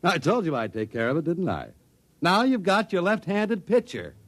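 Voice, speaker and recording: Animated, fussy club owner. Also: male voice, sits high, moderate pace. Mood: smug explaining. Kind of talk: 1950s radio drama acting.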